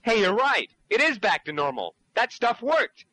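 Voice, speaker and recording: A man's voice: high-pitched, big pitch swings, no room sound, poor audio. A man doing a blustery, taunting sidekick. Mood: gleeful. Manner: blustery, taunting, quick to shout and laugh. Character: hot-tempered, mocking sidekick. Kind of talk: home-taped comedy sketch.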